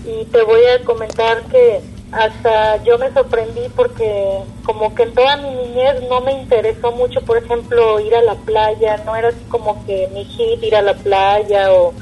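A woman's voice: fairly high pitch, little pitch movement, normal speed, lo-fi sound, slight background noise. A woman from Mexico with a Mexican accent, speaking casually and conversationally as an interview guest. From Puerto Vallarta, Mexico.

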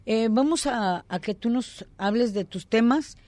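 A woman with a Mexican accent hosting a conversational interview and reading audience questions, halting and often breaking off her own sentences. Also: on the high side, darkish tone, no echo. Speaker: interview host.

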